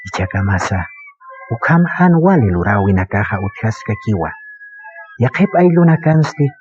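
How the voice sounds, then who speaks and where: A man's voice: a little high, darkish tone. A man delivering scripted narration for a radio drama. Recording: dull lo-fi radio broadcast, music behind. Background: music.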